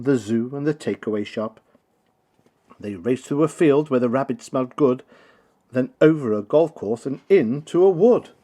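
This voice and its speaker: A man narrates a rhyming children's picture book in a theatrical, rhythmic way. His pitch moves around in a very lively manner, and the voice has a slightly husky edge. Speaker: storybook reader.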